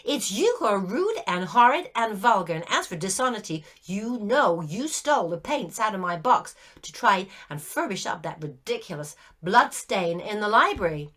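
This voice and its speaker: A woman's voice: medium pitch, animated, normal speed, a bit bright. A woman reading a story aloud, voicing an earnest young girl who scolds with prim indignation. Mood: indignant.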